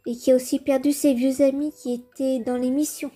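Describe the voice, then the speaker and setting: High, mellow young boy played by a woman, earnest puppet-show presenter, storytelling delivery, music underneath. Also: little pitch movement, a little fast. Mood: proud, excited. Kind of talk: French fan comic dub, storytelling.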